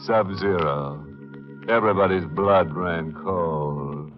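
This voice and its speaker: Casting: a mock-genial ghoulish 1940s radio host, deep and unhurried, relishing the gruesome, on dull vintage audio. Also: male voice. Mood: gleefully macabre. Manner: arch, punning, relishing the gruesome. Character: gleefully macabre, mock-genial male horror host. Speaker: host of a radio horror anthology. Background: music.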